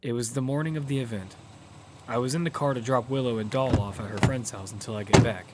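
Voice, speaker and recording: A man's voice: mid-range voice, fast, slight background noise, lightly acted. A man playing a determined lead who narrates his own survival story in a matter-of-fact way. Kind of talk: first-person audio fiction narration. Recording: music bed behind the voice.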